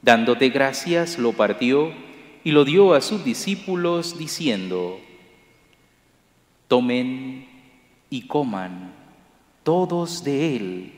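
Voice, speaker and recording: A middle-aged man leads Mass as a priest, reciting the prayers in a measured, solemn cadence. His voice sits at an ordinary pitch and rings out through a large church, with long reverb trailing each phrase. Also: expressive. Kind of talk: celebrating Mass, liturgical prayers. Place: large church sanctuary, at the altar.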